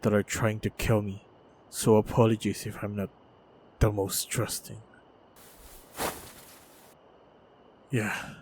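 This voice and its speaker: A stoic, wounded lone monster hunter, acted by a man in a fantasy audio drama. His voice is low and stays very even, coming out in guarded, halting phrases. Faint noise and ambience sit behind him. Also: lightly acted. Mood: wary. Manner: measured, halting, guarded delivery with heavy sighs. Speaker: voice actor in audio roleplay. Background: faint ambient sound effects.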